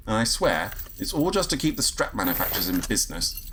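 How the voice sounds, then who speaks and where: A man playing a grumpy, sardonic space agent in a sci-fi audio drama, with a husky voice and a wry, grumbling manner. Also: on the low side, very animated, a little fast, noisy background. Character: grumpy, trigger-happy male space agent, sardonic and exasperated. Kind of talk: first-person sci-fi audio drama narration and dialogue.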